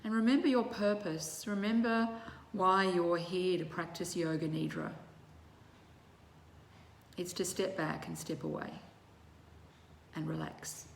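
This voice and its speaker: Female yoga nidra teacher, lowish voice, very unhurried and soothing, recorded in an echoey room. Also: fairly even intonation, vocal fry. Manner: measured, soothing, with long pauses between short phrases. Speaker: yoga teacher leading guided relaxation. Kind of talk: guided meditation instruction. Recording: roomy echo with faint background noise.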